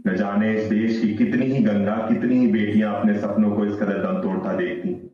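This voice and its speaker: Guy playing solemn theatre narrator, big echoey hall, muffled, declamatory and deliberate. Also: fairly low pitch, far from the mic, close to an everyday voice. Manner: solemn, rhetorical, deliberate phrasing. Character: solemn male narrator calling society to rise. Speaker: narrator in a stage play. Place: stage in an echoing hall.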